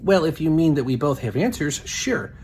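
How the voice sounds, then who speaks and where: A man's voice: a little high, very animated, normal speed, darkish tone, a little hiss or hum. A man who hosts a puppet show, giving blunt, assertive religious rebuttals.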